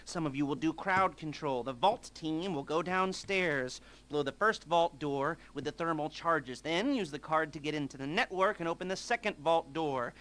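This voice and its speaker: A man's voice: fairly high pitch, moderate pace, hardly any pauses, a bit bright, slight background noise, lightly acted. A man playing a nervy, sardonic middle-aged heist planner in a video game, rambling through mission briefings with hesitant, dry wisecracks. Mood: nervous, rambling.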